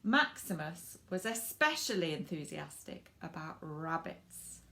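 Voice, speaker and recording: A woman reading a picture book aloud to children in an expressive way, stressing words for drama and speaking directly to young listeners. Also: sits low, vocal fry. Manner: animated, dramatic emphasis with drawn-out words and hushed asides.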